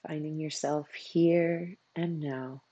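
Meditation coach, husky and creaky, slow delivery, narrow pitch range, soothing, long pauses, close dry sound. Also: steady tone, warm tone, no echo. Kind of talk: guided meditation.

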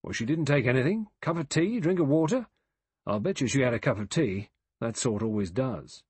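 Cocksure audiobook detective type, acted by a male reader: aggressive, colloquial, very lively intonation, slightly husky. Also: mid-range voice, a little fast, no echo, pitched above the performer's own voice. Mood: probing. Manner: forceful, colloquial, rhetorical questioning. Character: blunt, aggressive male investigator, suspicious and cocksure.

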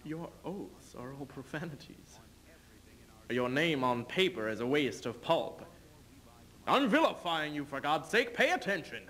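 A man's voice: fairly high pitch, sing-song, unhurried, fairly bright tone, rough recording. A young man playing a proud, sardonic aging king in a stage reading, mocking and declamatory. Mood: mocking, smug. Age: young adult. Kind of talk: theatrical duo interpretation, stage reading. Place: indoor stage in front of curtain.

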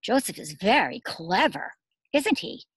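A middle-aged woman reading a picture book aloud to young children as their teacher, expressive, asking questions and pausing for answers. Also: medium pitch, husky, no room sound. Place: outdoors among trees and shrubs.

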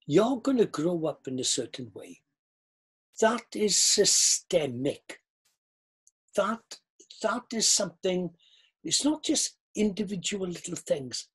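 A man's voice: high-pitched, slightly rough. An older man with a Welsh accent, a former pastor talking earnestly over a video call from a book-lined study. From Wales. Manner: earnest, reflective, animated gestures.

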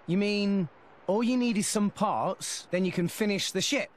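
A man's voice: high voice, a little slow. A man playing an earnest, upbeat teenage boy adventurer in a video game. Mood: thoughtful. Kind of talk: video game character acting. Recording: loud game score behind voice. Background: loud music.

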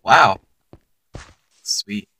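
A man's voice: drawn-out words, pushed and bright, dry recording. A young man giving casual gameplay commentary for his channel, chatting to viewers and reacting aloud as he plays. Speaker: gaming YouTuber.

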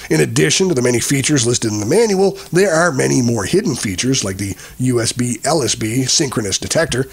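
A man's voice: a little high, gravelly. A man reading a scripted voice-over as the narrator of a product review.

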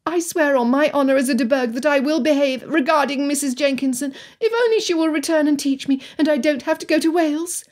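A woman's voice: high-pitched, a little fast, few pauses, a little mellow, pitched above the performer's own voice, lightly acted. A woman voicing a headstrong, impulsive young girl of genteel birth, earnest and insistent, in a storybook reading. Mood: pleading. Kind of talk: dramatised audiobook dialogue.

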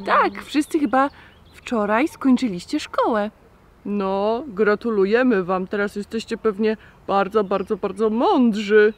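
A woman's voice: medium pitch, unhurried. A woman in her thirties presenting a children's puppet show outdoors, talking simply and directly to the children. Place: outdoors in a garden.

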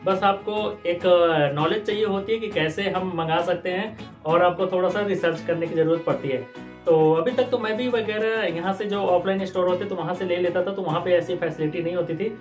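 A man's voice: sits high, brisk, soft background music. A man in his thirties from India, chatting casually and with animation as he unboxes groceries for his vlog, using his hands as he talks. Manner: chatty, animated, gesturing while talking. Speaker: vlogger. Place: indoor room, green screen and purple backdrop.

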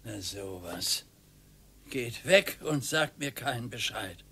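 An actor playing a grumpy, bored older retired husband who grumbles and pities himself, in a radio drama. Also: male voice, mid-range voice, animated, slow, bright, forceful tone, husky, heavily acted. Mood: grumbling. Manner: grumbling, self-pitying, whiny.

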